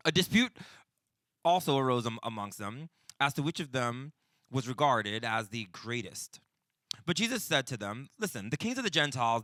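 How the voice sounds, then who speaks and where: A man in his forties teaches in a bright, forward voice with a dry, close sound. He talks like a pastor in a small session, conversational and informal. He keeps checking that people follow. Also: medium pitch, no echo. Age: 40s. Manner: conversational, often checks in with "right?".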